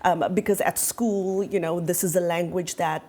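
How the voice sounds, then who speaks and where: A woman's voice: fairly low pitch, steady tone, moderate pace, mellow. A woman from South Africa hosting a studio panel discussion, speaking reflectively and personally with occasional "um". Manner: reflective, personal, uses "um" while thinking. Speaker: host.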